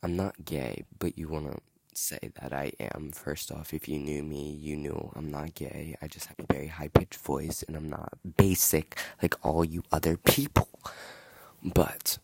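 Slow, gravelly young man ranting. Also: very deep voice, fairly even intonation, darkish tone, no room sound. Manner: rambling, filler-heavy, indignant. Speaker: podcast host. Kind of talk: casual storytelling monologue. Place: outdoors in wind.

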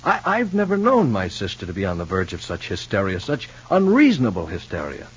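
A man playing an earnest, worried, ordinary fellow in a 1950s radio soap opera, speaking in a halting way. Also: on the low side, huge pitch swings, slightly rough, full character voice. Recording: muffled old radio recording with hum.

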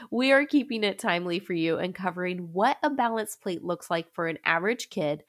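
A woman's voice: medium pitch, fairly bright tone. A woman hosting a podcast, congested and a little stuffy from a recent illness, talking in an upbeat, chatty way with frequent laughs. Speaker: podcast host. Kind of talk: casual podcast chat and scripted intro.

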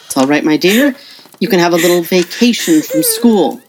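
A woman acting a patient, loving but firm middle-aged mother in an audio play, measured and gently admonishing. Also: lowish voice, sing-song, background noise. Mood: calm, firm.

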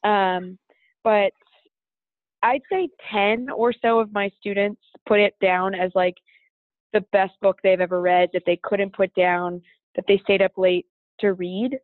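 A woman's voice: mid-range voice, steady tone, measured pace. A chatty woman teacher talks about books and keeps restarting her sentences. Her voice comes through a tinny, phone-like line with a very dry sound and no room echo. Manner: chatty, many fillers and restarts. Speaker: teacher and podcast co-host. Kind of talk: casual chat about books.

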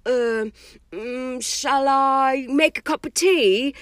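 A woman's voice: high voice, takes it slowly, no echo, studio quality, voice pushed up. A woman voicing an awkward, well-meaning teenage schoolboy in an audiobook, speaking hesitantly. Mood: awkward, sheepish. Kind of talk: audiobook character voice.

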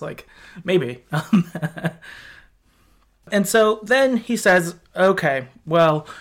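Higher-voiced man podcasting casually. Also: fairly bright tone. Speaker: podcast host. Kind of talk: casual chat recapping a game story.

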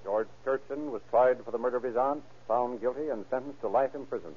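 A man's voice: mid-range voice, brisk, loud music behind the voice. A man narrating and announcing in a formal, declamatory, scripted 1930s radio style. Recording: muffled, lo-fi old radio recording.

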